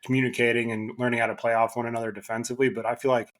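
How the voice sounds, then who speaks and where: A man who is a guest on a basketball podcast, losing his voice and talking casually in halting bursts with many restarts. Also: mid-range voice, little pitch movement, fairly bright tone.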